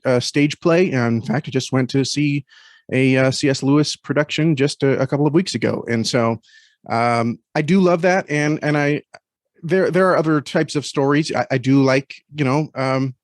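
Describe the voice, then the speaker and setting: A man's voice: a little high, no room sound, clean studio sound. A man talking casually as an interview guest, thoughtful and often searching for words. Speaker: podcast guest.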